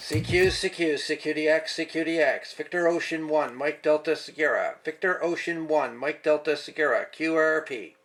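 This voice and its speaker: A man operating an amateur radio, calling out and repeating call signs in the phonetic alphabet in measured phrases. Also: on the high side, over loud music. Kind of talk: ham radio contact calls in phonetic alphabet. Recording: very noisy, heavy radio static. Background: radio static and hiss.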